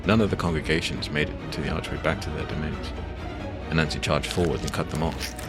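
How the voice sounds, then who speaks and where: A man with an extremely deep voice narrates dramatic fiction in the first person. Loud music plays underneath his scripted delivery. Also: steady tone, a little fast.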